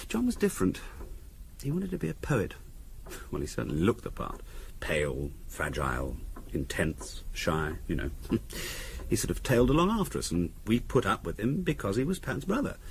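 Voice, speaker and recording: A middle-class English husband in a 1970s radio drama, provocative and wry. His voice sits fairly low and moves with very lively intonation, with deliberate pauses as he theorises. A faint noise runs under the old recording. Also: vocal fry, heavily acted.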